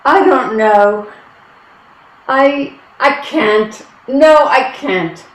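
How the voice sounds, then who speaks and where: A woman's voice: high voice, extremely slow. A woman acting a timid, anxious young Irish woman in a play reading, hesitant and plaintive, recorded in an echoey room. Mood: hesitant, fearful.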